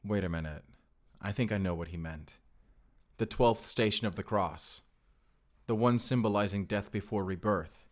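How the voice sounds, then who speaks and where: Male actor as mysterious vampire slayer, low voice, earnest and protective, intimate audio drama delivery. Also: normal speed, lightly acted. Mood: determined. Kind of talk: cinematic audio roleplay acting.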